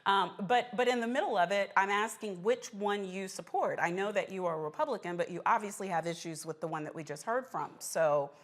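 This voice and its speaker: A woman's voice: medium pitch, brisk, hardly any pauses. A woman hosting a live political talk show, interviewing a guest and moderating a panel.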